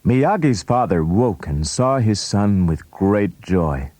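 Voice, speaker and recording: A man's voice: fairly low pitch, expressive, slow, dark tone, no echo. A man reading scripted storybook narration as the narrator of a read-along recording.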